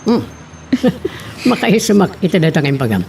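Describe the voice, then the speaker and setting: A man playing a genial middle-aged boss and indulgent father in an Ilocano audio drama, with a creaky voice. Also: sits high, sing-song, moderate pace, darkish tone, heavily acted. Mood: genial, inviting. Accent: Ilocano Filipino. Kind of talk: amateur audio drama dialogue. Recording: faint background noise.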